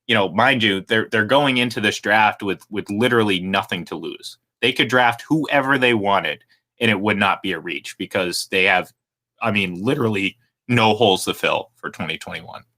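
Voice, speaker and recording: A man hosting a sports talk radio show, energetic and rambling, who laughs easily. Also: lowish voice, moderate pace. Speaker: radio host.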